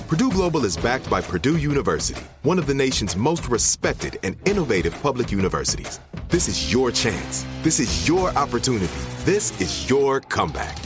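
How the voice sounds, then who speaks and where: A man narrating a scripted motivational advertisement as an announcer. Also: mid-range voice.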